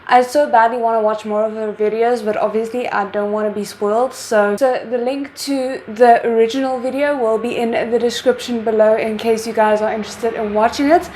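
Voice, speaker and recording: A woman hosting her own reaction video, talking casually and excitedly, thinking out loud. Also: on the high side, brisk, hardly any pauses, slight background noise. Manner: excited, chatty, thinks aloud. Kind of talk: casual chat.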